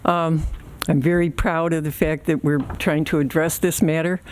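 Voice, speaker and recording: An older woman, in her sixties or older, with a soft voice, speaking haltingly and informally at a public meeting. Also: low-pitched, level tone, a little hiss or hum, poor audio. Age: 60s or older. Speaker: resident giving public comment.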